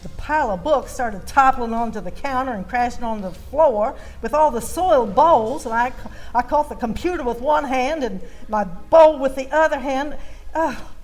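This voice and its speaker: A woman's voice: high voice, moderate pace, darkish tone, low-quality recording. A woman with a Southern US accent telling a comic story as a baking show host, in a folksy, theatrical, flustered manner.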